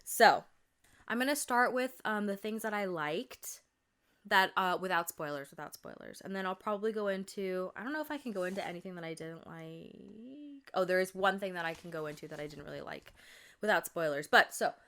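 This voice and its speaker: A woman's voice: medium pitch, expressive, fairly quick, fairly bright tone. A young woman hosting a casual podcast, chatty and rambling with lots of fillers and self-corrections, her voice a little congested and tired. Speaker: podcast host. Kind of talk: casual chat.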